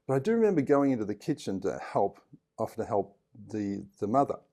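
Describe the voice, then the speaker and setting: A man in his sixties with an Australian accent, a podcast guest telling stories in a casual, animated way. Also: fairly low pitch, fairly quick. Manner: animated storyteller who acts out other people's lines and laughs often. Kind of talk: casual storytelling interview.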